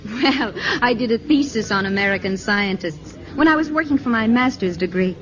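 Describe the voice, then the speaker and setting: A woman's voice: on the high side, expressive, normal speed, fairly bright tone. An actress playing an eager, admiring, educated young woman in a 1950s radio drama, earnest and polite. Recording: dull 1955 radio broadcast, crowd behind.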